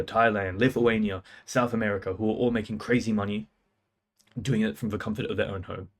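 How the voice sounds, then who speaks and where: A young man, his voice sitting a little low. He talks straight to camera in a casual, self-assured way, firing off short punchy phrases and slang. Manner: fast, punchy, confident delivery with slang. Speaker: music producer and sales coach. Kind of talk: casual talking-head advice.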